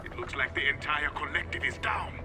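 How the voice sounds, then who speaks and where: An actor playing a brisk male agency operative in a video game, giving official, businesslike reports. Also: a little high, over music, close to an everyday voice. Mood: businesslike, urgent. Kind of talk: video game cutscene acting.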